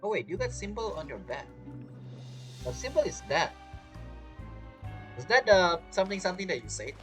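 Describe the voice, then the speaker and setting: A man's voice: high-pitched, big pitch swings, normal speed, soft background music. A man giving chatty, casual playthrough commentary, reacting to the game and reading its text aloud. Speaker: game streamer.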